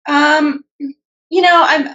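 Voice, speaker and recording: Young woman, bright voice, casual chatty guest, dull lo-fi podcast sound. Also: sits high, fairly even intonation, slow pace. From California. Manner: chatty, many fillers and restarts. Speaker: podcast guest, natural bodybuilder. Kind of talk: casual interview chat.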